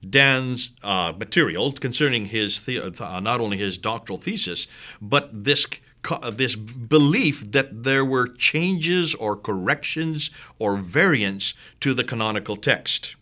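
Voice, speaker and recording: A man appearing as a guest in a studio discussion, speaking in an energetic, emphatic way. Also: mid-range voice, a little slow.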